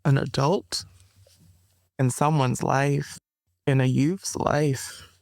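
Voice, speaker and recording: Casting: poet and performer, reflective, slow pacing, pauses to find words, dry close mic. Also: female voice, extremely low pitch, steady tone, vocal fry, a little mellow, no room sound. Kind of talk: reflective interview talk.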